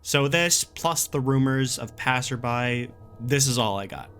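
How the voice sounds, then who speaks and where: A man playing a jaded, gruff, exasperated wanderer recording a survival warning, in a sardonic and emphatic dramatic horror reading. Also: mid-range voice, expressive, unhurried, a bit bright, faint music behind. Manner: sardonic, emphatic, colloquial grumbling.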